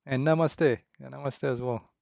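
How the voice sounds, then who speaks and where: A man's voice: medium pitch, gravelly, dry recording. Man chatting on a dev stream. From Romania. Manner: relaxed, halting, many fillers and restarts, chuckles. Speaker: indie game developer streaming. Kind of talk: casual livestream chat with viewers. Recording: dull, slightly lo-fi dry sound.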